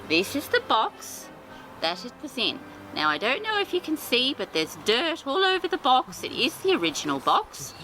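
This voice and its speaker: A woman's voice: medium pitch, poor audio. A woman hosting a storytime for small children, speaking in a doting, sing-song, theatrical way.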